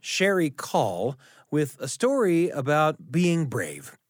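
A man hosting a radio storytelling show, narrating in a reflective, conversational way with deliberate phrasing. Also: a little high, sing-song, dry recording, studio quality, soft background music.

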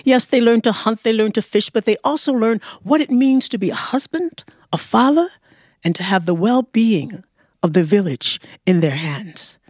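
A woman telling a folktale aloud as a storyteller, in measured, deliberate phrases. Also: on the high side, animated, a little mellow, no room sound, studio quality. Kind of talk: live oral storytelling of a folktale. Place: performance studio before an audience.

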